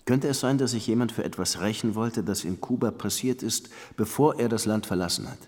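Low-voiced, world-weary detective played by a man. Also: brisk, darkish tone, dry recording, close to an everyday voice. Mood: probing. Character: dry, world-weary male police lieutenant narrating his case. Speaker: narrator and police detective. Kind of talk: first-person crime radio drama narration and dialogue.

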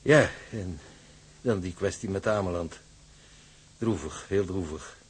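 Husky, unctuous office manager. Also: male voice, deep voice, a little slow, exaggerated voice. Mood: unctuous, courteous. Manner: formal, unctuous courtesy with swooping emphasis. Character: polite, smooth-talking, unyielding male shipping office manager. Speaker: actor. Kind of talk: Dutch radio drama acting.

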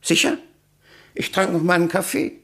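An actor playing a guarded, testy office employee in a radio crime drama, curt and defensive. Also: male voice, sits high, normal speed, a bit bright.

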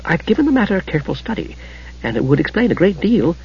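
A man voicing a quiet, thoughtful, scholarly god in a fantasy audiobook. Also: a little high, wildly swooping pitch, moderate pace, creaky, husky, distant mic, dull audio, full character voice. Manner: soft, thoughtful.

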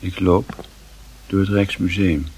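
A man narrating a literary radio play in a grave, measured manner, with a very husky, rough voice. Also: low-pitched, steady tone, a little mellow.

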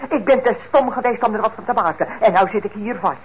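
A woman's voice: fairly high pitch, quick pace, natural voice. An actress playing a bitter, manipulative mother nearing forty in a radio play, nagging and wheedling. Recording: dull, noisy old radio recording.

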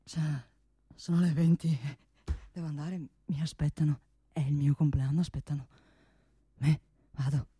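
An actor playing a boastful, coaxing middle-aged father and hunter, repeating phrases haltingly and flaring into irritation, in a theatrical radio comedy style. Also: mid-range voice, fairly even intonation, warm tone, slightly rough, no room sound, studio quality. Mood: hesitant, nervous. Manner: halting, repetitive, cajoling, bursts of irritation. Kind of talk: theatrical monologue-like stage comedy acting.

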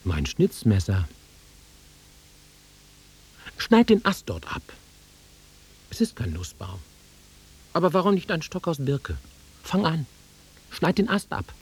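An actor playing a volatile, nostalgic country-bred man in a German radio drama, brooding and taunting, with a rough husky voice that swings from muttering to outbursts. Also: fairly high pitch, very animated, a little slow, long pauses, exaggerated voice. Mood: urgent, commanding.

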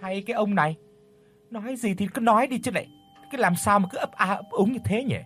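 A man's voice: high-pitched, fairly quick, a bit bright, pitched above the performer's own voice. A man voicing a quarrelsome middle-aged village wife who scolds and wails, in a spoken storybook. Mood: nagging. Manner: scolding, wailing.

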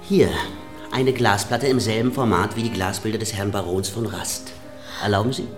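An actor playing an earnest, pedantic amateur photographer in a period radio drama, formal and deferential yet stubbornly insistent. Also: male voice, lowish voice, steady tone, normal speed, close to an everyday voice. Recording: roomy studio drama with music behind.

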